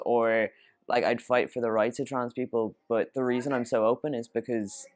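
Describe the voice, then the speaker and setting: A young man with an Irish accent, speaking earnestly in a documentary interview with frequent ums and uhs. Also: medium pitch. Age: young adult. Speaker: student interviewee.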